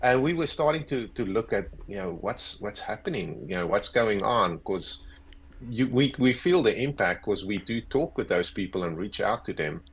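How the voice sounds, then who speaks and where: Easygoing South African man, fifties, lowish husky voice, self-deprecating guest on earbuds. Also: expressive, moderate pace, a bit bright, poor audio. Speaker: guest, agile coach. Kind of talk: casual interview chat. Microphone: earbuds on a video call.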